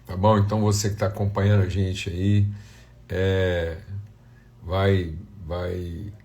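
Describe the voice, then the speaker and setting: A Brazilian man, slightly hoarse and congested, speaking as a pastor in an informal, conversational devotional talk with prayer. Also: low-pitched, little pitch movement, slow pace, mellow. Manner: conversational, addressing viewers as family and brothers. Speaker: pastor hosting a devotional livestream.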